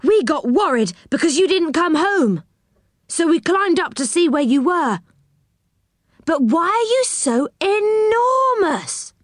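An eager young storybook boy, played by a woman reader, high and swooping in pitch. He sounds wide-eyed, concerned and helpful, in a close, dry recording. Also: very animated, unhurried, dry recording. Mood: astonished, worried.

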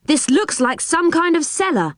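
A woman's voice: on the high side, big pitch swings, measured pace, no echo, studio quality, voice pushed up. A woman voicing an adventurous storybook boy who leads his friends with wondering exclamations.

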